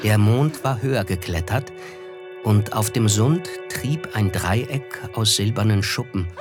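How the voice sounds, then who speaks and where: An actor playing a polite young man who recounts his memories in a German radio drama, measured and reflective. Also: on the low side, lively intonation. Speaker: narrator and character. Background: music.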